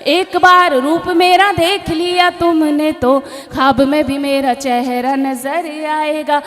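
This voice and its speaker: Woman poet singing her lines on a stage mic, high voice, long reverb, bit of music behind. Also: steady tone, a bit bright. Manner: sings verses in a drawn-out lilt, chatty asides to the crowd. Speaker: poet at a mushaira. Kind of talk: sung poetry recital. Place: outdoor evening poetry stage. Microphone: stage microphone.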